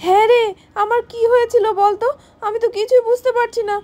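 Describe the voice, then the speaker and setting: A woman's voice: very high-pitched, fairly even intonation, fast, dark tone, voice pushed up. A woman voicing a fretful, anxious young mother in a doll-play story, plaintive and flustered. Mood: confused, distressed.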